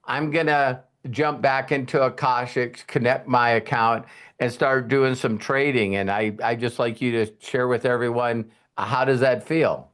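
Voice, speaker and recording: A man's voice: mid-range voice, fairly even intonation, unhurried, a bit bright. A man hosts a loose question-and-answer session. He talks bluntly and folksily and curses now and then. His voice sits in an ordinary middle range. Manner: blunt, folksy, with mild cursing. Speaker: host. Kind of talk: casual live Q&A session.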